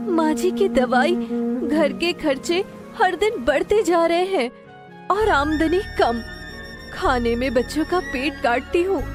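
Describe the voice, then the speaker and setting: A woman's voice: high voice, pitched above the performer's own voice. A woman voicing a weary, reproachful poor farmer's wife in a storybook tale, complaining plaintively. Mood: pleading complaint.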